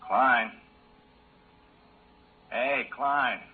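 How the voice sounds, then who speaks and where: A man's voice: mid-range voice, extremely slow, husky. An actor playing a bossy, impatient and homesick space crewman in a 1950s radio drama. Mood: stern, impatient. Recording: narrow-band 1950s radio recording.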